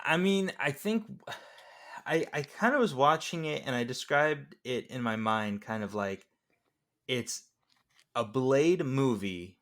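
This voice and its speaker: A man's voice: medium pitch, fairly bright tone. A man hosting a podcast, talking casually about films. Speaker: podcast host. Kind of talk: casual film discussion.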